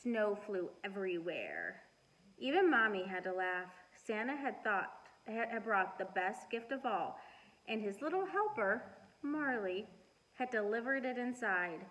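A middle-aged woman reading a storybook aloud and chatting to children in an expressive, deliberate way. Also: medium pitch, poor audio. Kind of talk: storybook read-aloud and casual chat to children. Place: living room at home.

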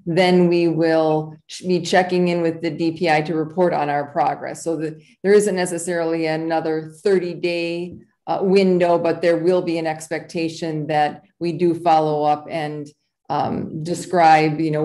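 A woman, a school administrator, clearly explaining procedures in a meeting over a video call. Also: sits low, little pitch movement, normal speed, mellow.

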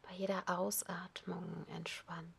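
A woman yoga teacher softly whispering a guided relaxation, calm and unhurried. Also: fairly low pitch, creaky, breathy edge. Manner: soft whispered, slow and calm. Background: ocean waves.

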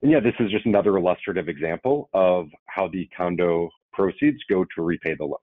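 A very low-voiced man explains how a project loan is repaid, steady and businesslike with a few fillers. His voice comes through a narrow, phone-like line with no room sound. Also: brisk, no echo. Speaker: co-presenter explaining loan structure. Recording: dry, phone-like narrow audio.

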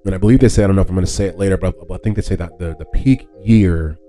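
A man's voice: low-pitched, very fast, dark tone, soft background music. A man hosting a podcast solo, explaining things casually with rambling, conversational asides. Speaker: podcast host.

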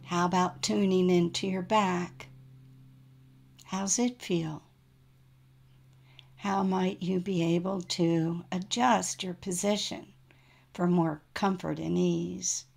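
A woman leads a guided relaxation with very slow, spacious phrasing. Her voice sits a little low and has a noticeable creak. She gives each gentle, soothing instruction room to settle before moving on. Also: fairly even intonation, soft background music. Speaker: yoga nidra meditation guide.

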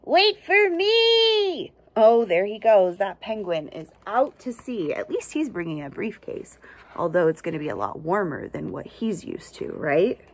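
A young adult woman reading a picture book aloud to children outdoors, with expressive, chatty asides and simple questions for young listeners. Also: lowish voice, normal speed, husky. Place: outdoors under a shelter among pine trees.

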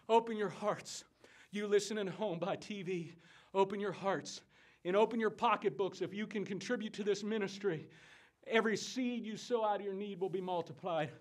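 A man's voice: sits high, lightly acted. An actor playing a fiery conman revivalist preacher in a theatrical stage monologue, bombastic and folksy, moving between confiding and exhorting. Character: fiery conman revivalist preacher crusading against booze and sin.

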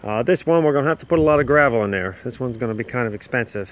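A man's voice: mid-range voice, lively intonation, very fast, a little hiss or hum. A middle-aged home builder filming a casual, plain-spoken walkthrough of a construction site outdoors on a handheld phone, explaining as he goes with fillers and restarts. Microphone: handheld phone camera.

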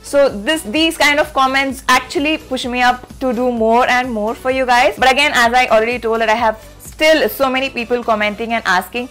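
A woman's voice: fairly high pitch, brisk, hardly any pauses, soft background music. A young woman with an Indian English accent, vlogging to camera in a chatty way that repeats itself, filmed in a small room at desk distance. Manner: chatty, repeats herself, lively hand gestures. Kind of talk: casual direct-to-camera vlog.

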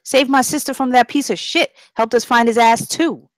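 A woman's voice: a little high, fairly even intonation, moderate pace, no echo, lightly acted. A woman playing a defiant, loyal young gang member, slangy, casual and defensive in a script read.

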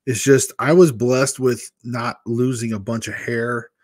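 A man in his fifties, originally from Michigan, chats casually and candidly with his livestream viewers. His tone is mid-pitched and relaxed, and he wanders from story to story while gesturing. He speaks close to a desk microphone in a small room at home. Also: a little slow. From Michigan, USA. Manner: candid, rambling, talks with his hands. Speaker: weight-loss vlogger hosting a live chat. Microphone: large desk microphone in front of a webcam.

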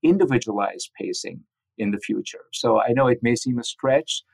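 Guy doctor being interviewed, explains technical stuff keenly, creaky low voice, dry sound. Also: moderate pace, a little mellow, dry recording, low-quality recording. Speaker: physician guest, researcher.

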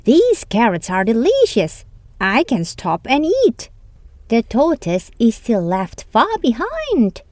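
A woman's voice: a little high, wildly swooping pitch, takes it slowly, a little mellow, no echo, full character voice. A woman voicing a proud, teasing male hare in a children's storybook, with a boastful, taunting manner. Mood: smug. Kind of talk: children's storybook read-aloud.

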